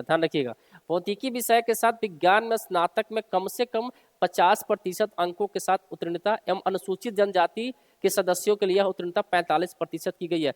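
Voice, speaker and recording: A male coaching teacher speaks in a notably high voice with a long, hall-like reverb around it. He alternates between reading formal text aloud and explaining it informally. His manner is instructive, and he often restates the important points. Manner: instructive, repeats and rephrases key points for listeners.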